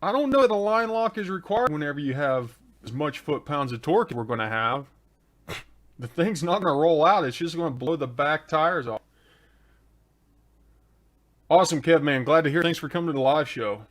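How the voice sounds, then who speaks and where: A man's voice: on the high side, expressive, moderate pace, fairly bright tone. A man hosting a live stream, chatting casually and answering viewers' questions one by one into a desk microphone. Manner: answers viewer questions on engine tuning, laid-back and chatty. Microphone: desk microphone, adjusted mid-show.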